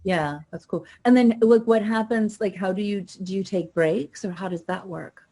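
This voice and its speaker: A woman's voice: mid-range voice, moderate pace, mellow, no room sound. A woman hosting, asking questions and summing up in a casual interview style. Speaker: host and voice coach.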